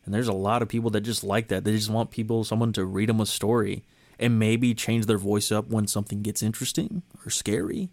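A man's voice: lowish voice, flat delivery, a little fast. Easygoing man hosting a podcast. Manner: chatty, easygoing, laughs often. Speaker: podcast host. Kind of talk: casual chat.

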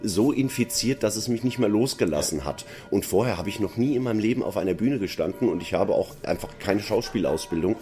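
A middle-aged man speaks in a deep, relaxed voice over faint music. He explains things earnestly and stresses points by repeating words, like an actor being interviewed. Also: brisk, hardly any pauses. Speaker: stage actor. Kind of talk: conversational interview answers.

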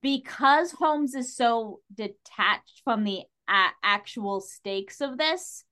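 Female guest, higher-pitched voice, casual and opinionated conversational delivery. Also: expressive, slow, bright, forceful tone. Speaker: podcast guest.

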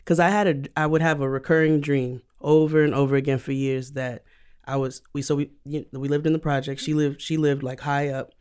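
A woman guest on a podcast, an animated storyteller who laughs easily. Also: low-pitched, a little fast, clean tone. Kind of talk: casual podcast conversation.

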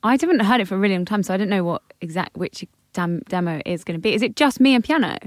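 A woman's voice: mid-range voice, lively intonation, racing, no room sound, clean studio sound. Laughing young woman musician guest. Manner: laughing, loose, self-deprecating. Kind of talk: casual chat.

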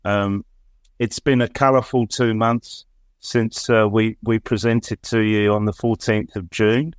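Guy being interviewed, lowish voice, measured and halting, dry sound with no echo. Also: little pitch movement, clean tone. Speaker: interview guest, chief executive of a telehealth service. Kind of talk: spoken interview answer, presenting an initiative.

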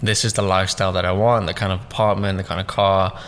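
Young guy, rambling podcast guest chat, a bit low, faint room hum behind. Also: little pitch movement, quick pace, a bit bright. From born in Russia, raised in southeast London. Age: 20s. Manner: loose and rambling, many fillers and "like"s. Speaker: podcast guest, business owner. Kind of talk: casual interview chat.

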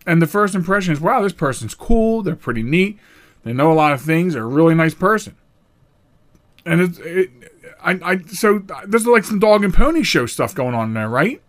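A middle-aged man hosting a solo podcast, talking in a rambling, animated way with frequent restarts and sudden jumps in pitch for emphasis. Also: normal speed. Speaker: podcast host.

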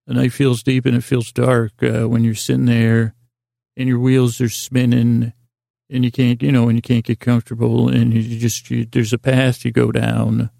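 A man hosting a bedtime podcast in a creaky, soothing voice, improvising a meandering story with halting restarts and repeated phrases. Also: mid-range voice, completely flat delivery, no room sound, studio quality. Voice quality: creaky, gravelly. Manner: gentle, lulling, soothing. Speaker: host of a bedtime sleep podcast.

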